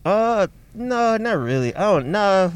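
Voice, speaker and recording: A young man from the Detroit area chats loosely about where he grew up. He jumps into little acted-out voices for the people in his stories and laughs along. His voice sits a touch high and comes through smooth and clear. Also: slow. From Detroit area, Michigan. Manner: animated storyteller, acts out voices, laughs often. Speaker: comedian guest. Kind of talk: casual interview chat.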